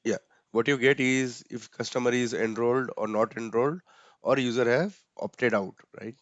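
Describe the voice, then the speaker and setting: Man, Indian accent, casual step-by-step explainer, fillers, mid pitch, dry muffled call audio. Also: moderate pace, no echo. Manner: casual, many fillers, frequent tag "right?". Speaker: instructor. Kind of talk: explaining software settings step by step.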